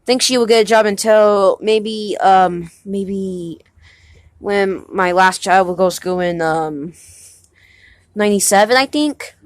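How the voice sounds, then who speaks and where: A young man playing a halting nineteen-year-old husband. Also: high-pitched, clean tone, no echo. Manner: hesitant, halting, many restarts. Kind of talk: amateur home movie acting.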